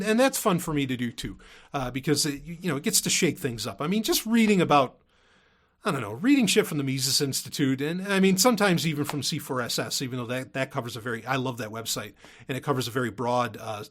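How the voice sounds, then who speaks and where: A man's voice: on the high side, fairly bright tone. A man hosting a solo podcast, talking to his listeners in an informal, rambling, emphatic way. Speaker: podcast host.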